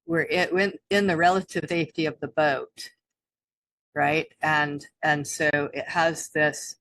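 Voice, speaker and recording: A woman co-hosting a spiritual talk, speaking haltingly with many restarts and soft laughs. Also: low-pitched, fairly even intonation, no room sound. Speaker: co-host. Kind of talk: spiritual teaching chat.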